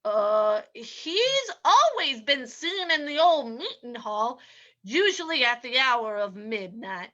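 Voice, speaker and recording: A woman's voice: high voice, big pitch swings, pushed and bright, exaggerated voice. A woman playing a baffled, hesitant small-town sheriff in a radio drama reading. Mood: earnest. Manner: hesitant, halting. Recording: dull lo-fi sound.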